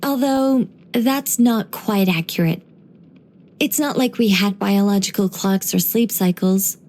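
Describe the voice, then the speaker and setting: A woman voicing a gentle, wry former angel turned human storyteller in a video game, musing and lightly teasing in an unhurried way. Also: mid-range voice, natural voice. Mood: playful, musing. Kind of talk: scripted video game character voice lines.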